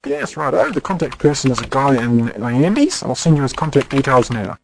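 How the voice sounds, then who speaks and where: A man's voice: mid-range voice, very animated, a little fast, creaky. A man acting a doddery, forgetful job agent in a science fiction audio drama, muttering in a muddled, hesitant way. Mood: absent-minded. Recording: very noisy, clicking audio.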